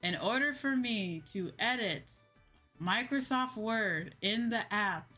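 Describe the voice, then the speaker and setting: A woman's voice: a little high, animated, slow pace, pushed and bright. Exasperated woman livestreamer over background music. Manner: exasperated, dramatic, repeats phrases for emphasis. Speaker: livestreaming VTuber host. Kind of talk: casual livestream chat, ranting.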